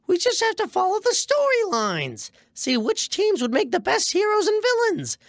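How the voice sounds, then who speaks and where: A woman playing a fast-talking young schemer with glib, wheedling sales patter in a scripted radio comedy. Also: very high voice, huge pitch swings, no echo, clean studio sound, full character voice. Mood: eager, confident. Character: fast-talking young scheming huckster pitching dubious deals.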